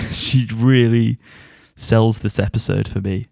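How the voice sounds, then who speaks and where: A man's voice: lowish voice, fairly even intonation, takes it slowly, vocal fry, a little mellow, dry recording, studio quality. A man co-hosting a podcast, giving a casual review and often chuckling as he talks. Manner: chuckles often while talking.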